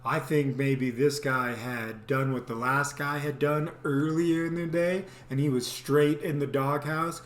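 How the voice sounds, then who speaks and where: A man hosts a casual storytime in a roomy, echoing space. He rambles along with animated, comedic energy, like someone recounting an everyday mishap to friends. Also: mid-range voice, unhurried, few pauses. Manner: animated, rambling, mimics people in exaggerated voices. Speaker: storytelling vlog host. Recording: roomy, echoey sound.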